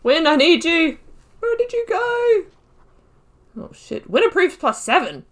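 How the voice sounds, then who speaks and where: A woman's voice: extremely high pitch, very animated, unhurried. A woman hosting a game playthrough, chatting casually, swearing and reading dialogue choices aloud. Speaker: gaming video host. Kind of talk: casual let's play commentary.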